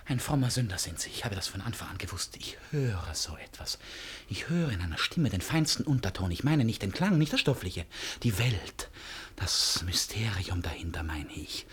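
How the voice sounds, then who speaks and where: An actor in a German radio drama playing an agitated, feverish, accusing man, husky and theatrical, swinging between whispers and shouts. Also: mid-range voice, heavily acted.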